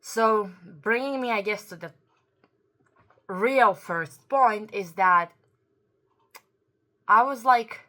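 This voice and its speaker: A woman's voice: medium pitch, slow, pauses often, pushed and bright. A native Croatian-speaking woman giving an earnest opinion commentary to camera. She often searches for words and corrects herself as she goes. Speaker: YouTube commentator.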